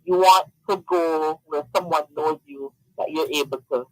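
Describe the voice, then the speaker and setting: A man from Jamaica, a guest in a casual radio interview, who talks animatedly, laughs often and repeats words when excited. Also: a little high, normal speed, a little hiss or hum, rough recording.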